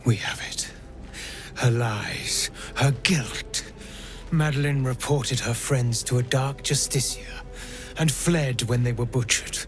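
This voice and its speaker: An actor playing a grave occult avenger in a video game, speaking in a solemn, measured, theatrical way. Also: male voice, medium pitch. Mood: cold, resolute. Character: grave, ominous occult avenger seeking judgment on the guilty. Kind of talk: video game character acting. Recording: music behind. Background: game music.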